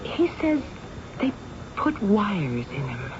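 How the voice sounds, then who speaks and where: A woman's voice: medium pitch, huge pitch swings, slow pace. Coy, tipsy woman, muffled vintage radio. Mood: hesitant, evasive. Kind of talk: old-time radio drama acting. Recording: muffled, noisy vintage radio drama recording.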